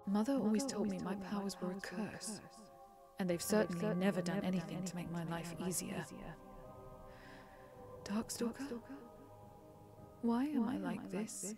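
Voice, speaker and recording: A woman's voice: mid-range voice, unhurried, pauses often, lightly acted. A woman as a timid young dragon over music. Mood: timid, troubled. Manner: hesitant, earnest, questioning. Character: timid, anxious young female dragon with mind powers. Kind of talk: fantasy audio drama acting. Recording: music bed under the voice. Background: background music.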